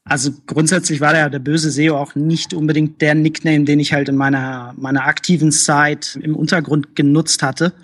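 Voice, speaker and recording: Young husky-voiced man, relaxed rambling. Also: fairly high pitch, moderate pace, few pauses. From Hamburg. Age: young adult. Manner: relaxed, rambling, many fillers. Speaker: interview guest. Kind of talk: casual interview chat.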